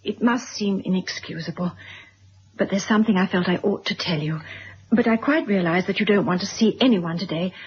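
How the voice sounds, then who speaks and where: An actress playing a frank, apologetic middle-aged mistress in a radio drama, articulate and husky. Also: female voice, medium pitch, fairly quick, slight background noise, natural voice. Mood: nervously resolute. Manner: articulate, frank, self-deprecating. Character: candid, apologetic mistress confessing to her lover's wife. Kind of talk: 1970s radio drama acting. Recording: dull lo-fi vintage radio recording.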